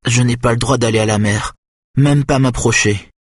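A man's voice: medium pitch, steady tone, moderate pace, husky, dry recording, voice pushed up, lightly acted. A man voicing a big childlike young man, anxious and innocent, who talks in a simple, eager way close to tears. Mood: frightened.

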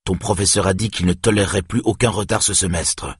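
A man's voice: low-pitched, normal speed, husky, no echo, clean studio sound, full character voice. A man voicing a harsh, exasperated father who scolds his young daughter in a stern, angry voice for an audiobook. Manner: stern, shouting when angered.

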